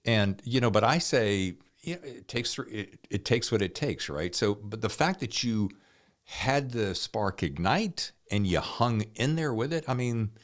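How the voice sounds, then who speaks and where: A man's voice: lowish voice, expressive, unhurried. A man hosting a podcast, chatting informally and enthusiastically with his guests and jumping in as he goes. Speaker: podcast host. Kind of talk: interview chat.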